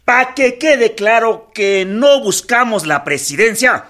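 An actor playing a blunt, colloquial revolutionary delegate in a radio drama. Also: male voice, high-pitched, expressive, slow, pushed and bright, heavily acted. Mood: assertive. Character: blunt, mocking male revolutionary delegate from northern army. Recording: crowd noise behind the voice.

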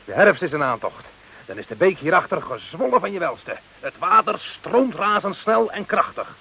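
A man's voice: high-pitched, big pitch swings, moderate pace, gravelly, sharp tone, slightly rough, full character voice. An actor playing a defiant, scheming working man in a radio play, boastful, mocking and emphatic. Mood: cheerful, cocky. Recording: muffled, hissy old radio recording.

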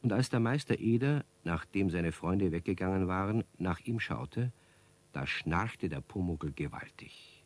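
A man narrating a children's radio play as a friendly, dryly amused storyteller with a deep, husky voice. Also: lively intonation, a little slow. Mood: wry, calm.

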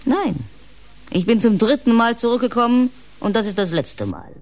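Blunt old housekeeper, lively swooping voice. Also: female voice, on the high side, moderate pace. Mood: stubborn, dry. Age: older. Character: blunt, pious old housekeeper, motherly and needling. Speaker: actress in a radio play. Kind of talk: German radio drama acting.

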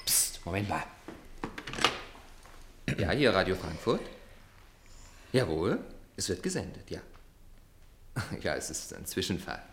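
A man's voice: deep voice, sing-song, slow, pauses often, a bit bright, a little hiss or hum, exaggerated voice. A man playing a matter-of-fact radio station employee and announcer in an old radio play. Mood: irritated.

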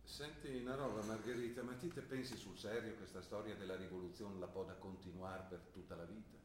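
A man's voice: on the low side, fairly even intonation, fairly quick, recorded from a distance, slight background noise, natural voice. An actor playing a skeptical older father, a plain-spoken shopkeeper questioning his daughter. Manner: earnest, probing, plain-spoken. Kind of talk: stage play acting. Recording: roomy stage recording, voice from afar.

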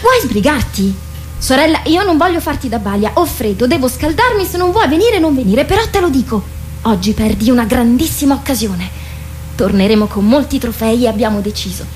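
An actress in a radio drama playing a boastful, impatient teenage warrior girl, bossy and excitable. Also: a little high, fairly quick, slight background noise, close to an everyday voice. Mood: impatient, taunting.

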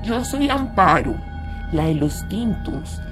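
An actress voicing the eerie, solicitous ghost of a night-shift tea lady in a radio drama, with a Colombian accent and drawn-out delivery. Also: medium pitch, big pitch swings. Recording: loud music under the voice.

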